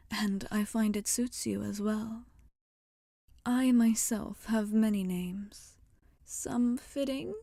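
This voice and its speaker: Woman playing a rambling witch shopkeeper, soft ASMR whispering, creaky voice, close dry sound, motherly teasing. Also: on the high side, no echo, heavily acted. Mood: kindly, curious. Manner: soft-spoken, often dropping into whispers. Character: wry, rambling, rebellious woodland witch shopkeeper. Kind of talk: ASMR roleplay.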